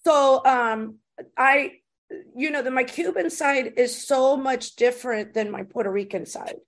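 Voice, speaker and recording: A woman's voice: high voice, measured pace. A woman hosting a casual podcast interview, chatting informally with slangy phrasing. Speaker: podcast host interviewing a comedian.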